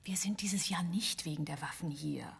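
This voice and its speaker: Casting: sardonic smuggler's wife in radio drama; lowish, very husky and breathy. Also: female voice, moderate pace, exaggerated voice. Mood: cool, mocking. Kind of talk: German radio play acting and narration.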